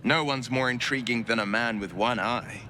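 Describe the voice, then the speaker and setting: Man playing a bright-voiced, suave one-eyed adventurer. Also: mid-range voice, normal speed, a little hiss or hum, lightly acted. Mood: wry, welcoming. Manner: wry, urbane. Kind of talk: dramatic video game acting.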